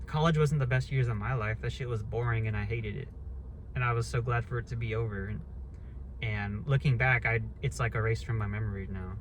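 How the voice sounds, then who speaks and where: A man's voice: medium pitch, fairly even intonation, brisk, slight background noise. A man in his thirties talking through a casual, rambling rant, blunt and swearing freely. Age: 30s. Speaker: vlogger.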